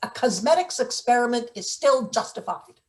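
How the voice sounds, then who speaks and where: A woman's voice: a little high, animated, normal speed, natural voice. A woman playing a menacing, sardonic late middle-aged maintenance worker in a table read of a satirical play. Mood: accusing, sardonic. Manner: sardonic, interrogating, theatrical threats.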